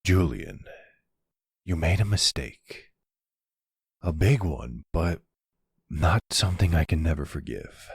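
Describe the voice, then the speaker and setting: A man playing a gentle older mafia man and devoted partner, speaking softly and reassuringly in an intimate roleplay. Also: extremely low pitch, sing-song, slow, gravelly, long pauses, husky, dry recording, studio quality, exaggerated voice. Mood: tender, forgiving. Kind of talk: intimate ASMR boyfriend roleplay.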